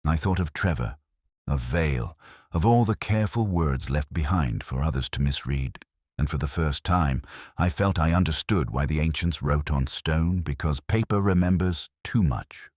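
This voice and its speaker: A man playing a weary, melancholy police detective who narrates a mystery audio drama in measured, brooding, literary phrases. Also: very deep voice, expressive, darkish tone, breathy edge, no room sound, studio quality, exaggerated voice.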